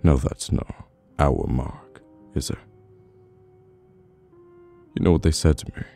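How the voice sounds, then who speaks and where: An extremely deep, gravelly British mafia boss, acted by a man for an audio roleplay. He is cold, dominant and menacing, giving orders slowly with long deliberate pauses. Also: warm tone, faint music behind, full character voice. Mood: cold, controlled. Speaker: voice actor. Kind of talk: ASMR audio roleplay, slow dramatic monologue.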